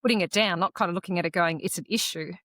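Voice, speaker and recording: A woman, raised speaking Croatian first, talks through her experiences on a podcast in a reflective, earnest tone. Her voice sits a bit low, and she often stops and restarts her sentences. The recording is dry and close, with no room sound. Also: a bit bright, no room sound. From Australia. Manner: earnest, reflective personal storytelling with halting restarts. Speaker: podcast co-host. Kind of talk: casual conversational podcast chat.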